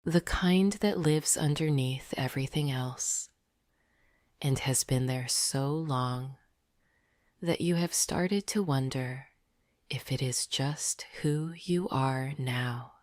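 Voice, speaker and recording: Very slow, low-pitched woman, hushed. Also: dry recording, studio quality. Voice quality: soft, breathy, often near a whisper. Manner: gentle, soothing, deliberate. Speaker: guided meditation narrator. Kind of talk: slow scripted sleep meditation.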